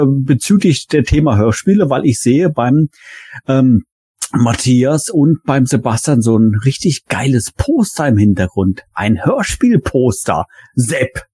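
A man hosts a podcast in a conversational way. His voice is dark and husky, of middling pitch, and recorded very dry. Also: expressive, a little slow, no echo. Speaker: podcast host. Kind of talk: conversational moderating.

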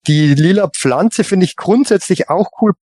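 A man chatting casually as a podcast panelist, giving his opinions in a halting way with repeated words. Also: high-pitched, lively intonation, darkish tone, dry recording, clean studio sound. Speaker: podcast panelist on a toy collector show.

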